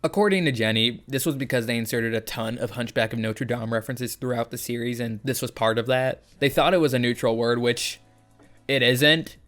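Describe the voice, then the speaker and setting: A man's voice: medium pitch, brisk, a bit bright, soft background music. A man hosting a casual video essay, talking in a sardonic, joking way and swearing freely.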